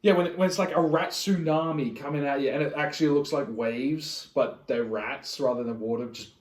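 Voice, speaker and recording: A man's voice: mid-range voice, brisk. A man chatting casually as a podcast co-host, informal and sweary in his talk, with a roomy echo around his voice. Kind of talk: casual group podcast chat. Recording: roomy, echoing room sound.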